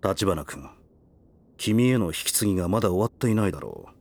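Stern, low-voiced reserved father. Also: expressive, fairly quick, a little mellow. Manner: curt, reserved, matter-of-fact. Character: stern, taciturn middle-aged father, coolly detached. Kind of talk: voice drama acting.

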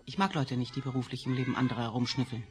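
A woman's voice: rumbling low, fairly even intonation, rapid-fire, soft background music. An actress in a radio play playing a bitter, possessive woman in love, sardonic and dramatic, with sighs. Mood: disdainful. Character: bitter, possessive scientist's daughter, confessed killer in love.

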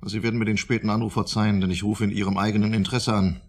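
Husky, scheming professor psychiatrist. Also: male voice, fairly low pitch, level tone, racing. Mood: calculating, formal. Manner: formal, probing, condescending. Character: shrewd, self-important male professor of psychiatry, scheming. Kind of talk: radio drama dialogue.

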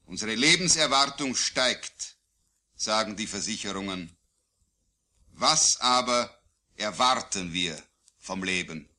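A man reciting his own poetry solemnly, in a declamatory, deliberate way with phrases falling at the line endings. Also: on the low side, expressive, sharp tone. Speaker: poet. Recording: digitized vintage vinyl record.

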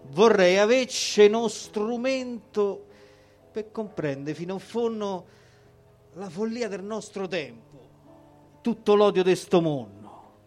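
Male poetry reader, slightly high voice, deliberate emphatic recital over an instrument. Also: animated, a little mellow, faint music behind. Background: musical instrument accompaniment.

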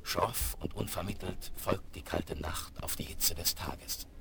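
A man narrating a German western radio play in a grave, deliberate, vivid storytelling style. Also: low voice, creaky, heavy background noise, full character voice. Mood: grave, suspenseful. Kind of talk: dramatic scripted story narration. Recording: 1970s vinyl radio play. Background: loud music.

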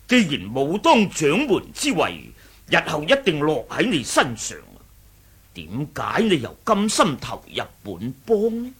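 An actor playing a stern, zealous senior elder of a martial sect in a Cantonese radio drama, formal and declamatory. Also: male voice, on the high side, wildly swooping pitch, measured pace, a bit bright, husky, heavily acted. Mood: stern, probing.

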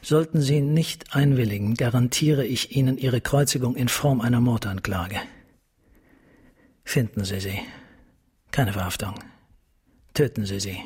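An actor playing a middle-aged, grieving and vengeful police captain in a radio drama, formal, bitter and menacing. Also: male voice, medium pitch, a little slow, long pauses, a little mellow, husky. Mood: bitter, commanding.

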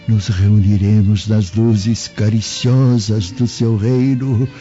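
An actor playing a gentle, devout old man in a radio drama, speaking solemnly in a measured, preaching way. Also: mid-range voice. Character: gentle, devout, steadfast elderly Samaritan uncle. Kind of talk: Brazilian radio drama acting. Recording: dull lo-fi audio, music behind.

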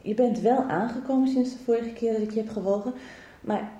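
A woman acting a calm, frank doctor in a radio soap. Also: a little high, a little fast, muffled recording, natural voice. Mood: frank, firm. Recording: echoey room, faint noise.

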